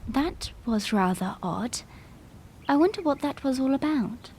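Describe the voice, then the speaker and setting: A woman's voice: high-pitched, lively intonation, unhurried, a little mellow. A woman playing an earnest, dutiful young princess with formal phrasing in a fan audio drama. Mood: earnest, pondering.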